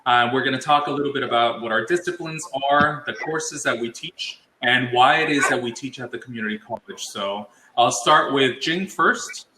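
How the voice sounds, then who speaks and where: A man's voice: mid-range voice, moderate pace, hardly any pauses, echoey room, background noise. A man professor hosting an online college workshop, talking in a friendly way with some hesitant fillers. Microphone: video call.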